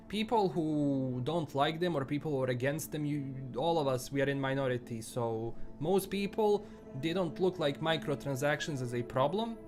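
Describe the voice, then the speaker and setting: A man with a Bosnian accent chatting casually on a live stream, rambling and restarting his sentences often. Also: on the high side. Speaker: livestream host.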